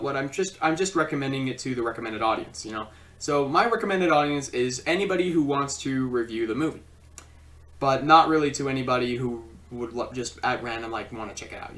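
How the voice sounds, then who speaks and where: Rambling amateur reviewer, lively pitch, off-mic. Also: far from the mic. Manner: rambling, repeats himself, stumbles over phrasing. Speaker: film review host. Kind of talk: casual amateur video review.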